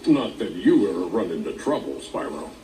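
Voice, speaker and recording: A man voicing a gentle elder dragon giving gameplay hints. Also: low-pitched, sing-song, normal speed. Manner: gentle, advising. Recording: echoey distant game audio with music.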